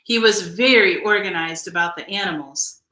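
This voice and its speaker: A woman reading a children's picture book aloud in an expressive, deliberate storytelling style. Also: mid-range voice, reverberant, rough recording. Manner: measured, deliberate storytelling delivery with emphasized phrases. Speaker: storybook reader.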